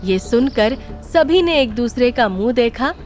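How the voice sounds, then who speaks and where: A woman's voice: fairly high pitch, lively intonation, measured pace, a little mellow, soft background music. A woman reading scripted storytelling narration for a cartoon tale.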